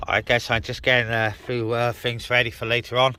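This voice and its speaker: A man's voice: mid-range voice, little pitch movement, brisk, bright, forceful tone, smooth. A man chatting casually as a CB radio operator and vlogger, speaking outdoors on a hilltop. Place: outdoors on a hilltop, light wind.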